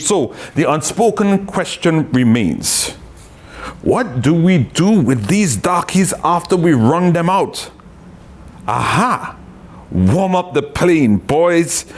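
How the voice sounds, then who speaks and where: A poet from Trinidad with a Trinidadian accent, chatting loosely with many tag phrases and reading his own poetry. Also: a little high.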